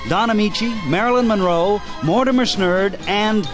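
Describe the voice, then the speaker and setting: High-voiced male radio host over music. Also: a little slow. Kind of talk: scripted announcing. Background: clear music.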